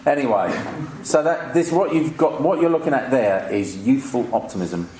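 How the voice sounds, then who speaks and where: A man's voice: medium pitch, expressive, normal speed. A man in his fifties telling stories informally to a live audience, with a sweary, wry, ranting manner. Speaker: comedian speaking on stage. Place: indoor event before an audience. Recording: echoey live room with clear background noise.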